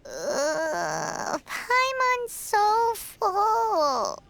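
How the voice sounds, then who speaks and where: A woman voicing a tiny, childlike fairy companion in a video game, perky and blunt with a squeaky voice. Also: huge pitch swings, very slow, vocal fry, a bit bright, heavily acted. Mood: stuffed, groaning. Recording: music behind the voice.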